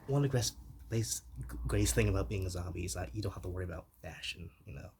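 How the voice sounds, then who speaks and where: A shy, soft-hearted zombie, acted by a man in an intimate ASMR roleplay. The voice is fairly low and gentle, often slipping toward a whisper. He talks haltingly and apologetically, with some hiss behind him. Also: creaky. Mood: friendly, rambling. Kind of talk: ASMR roleplay, softly spoken to the listener.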